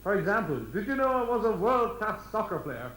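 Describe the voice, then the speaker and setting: A man's voice: high-pitched, sing-song, a little fast, a bit bright, a little hiss or hum. A man playing a jolly, boastful old king in a comic stage pantomime, with hammy, chuckling joke delivery. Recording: echoey stage recording.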